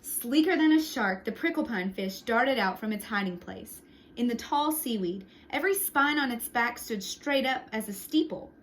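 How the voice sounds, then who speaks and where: A woman reading a picture book aloud to young children, expressively, with short hushed asides to the listeners. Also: a little high, normal speed, a little hiss or hum. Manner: expressive, with hushed whispered sound words and asides. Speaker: storytime reader for young children.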